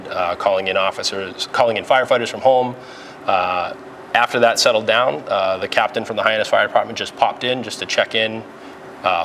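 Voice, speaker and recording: Male supervisor, fairly deep voice, informal step-by-step explainer, recorded with background ambience noise. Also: a bit bright. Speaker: police dispatch supervisor. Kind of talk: explanatory interview and facility tour. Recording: clearly noisy room ambience.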